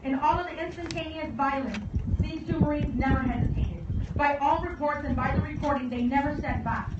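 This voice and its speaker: A woman delivering a formal commemorative speech as guest speaker at a memorial service, in a solemn, measured manner with deliberate phrasing. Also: high-pitched. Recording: echoey, distant, dull and very noisy.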